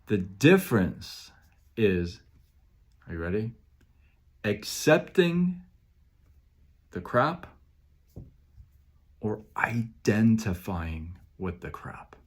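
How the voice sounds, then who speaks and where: A man's voice: lowish voice, very animated, drawn-out words, pauses often, slightly rough. A man hosting a motivational live show, speaking emphatically with repeated phrases and an occasional stutter, greeting viewers by name. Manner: stutters at times.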